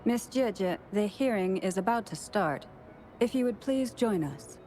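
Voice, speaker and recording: An actress playing a composed female judge in a game, speaking formally and measured, with faint music underneath. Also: medium pitch, expressive, warm tone, lightly acted. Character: composed female judge presiding over a hearing. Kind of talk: game voice acting.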